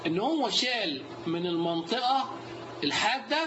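A man's voice: high-pitched, moderate pace. A man with an Egyptian Arabic accent teaching a live class. He keeps quizzing his students as he goes. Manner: lively, quizzes the students often. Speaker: instructor. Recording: dull lo-fi recording with steady noise.

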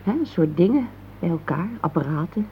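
A woman's voice: on the low side, very animated, normal speed. An actress playing a wistful married woman in a Dutch radio play, reflective and searching for her words. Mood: melancholy, searching. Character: wistful married woman longing for lost romance. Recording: dull, noisy old radio recording.

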